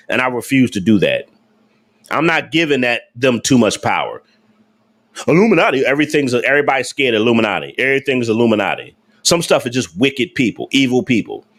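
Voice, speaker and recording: A man's voice: medium pitch, fairly bright tone. Guy on a live stream, slangy and emphatic, keeps hammering the same question at chat. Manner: slangy informal speech. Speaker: livestream host. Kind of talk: casual livestream talk to viewers.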